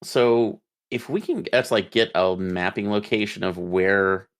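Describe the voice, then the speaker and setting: A man's voice: on the low side, measured pace. A man playing in a tabletop roleplaying game, talking casually and thinking aloud a bit tentatively.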